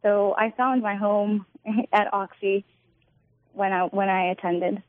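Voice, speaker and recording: A woman's voice: medium pitch, fairly even intonation, slow pace, no echo. A young woman in her twenties from Northern California, answering interview questions in an earnest, friendly way. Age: 20s. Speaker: guest. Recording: tinny phone line.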